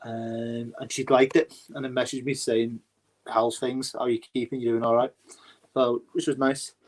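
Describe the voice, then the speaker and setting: A man from Cheshire bantering casually with friends, telling jokes and bursting into high laughter. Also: little pitch movement, moderate pace, poor audio. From Cheshire, England.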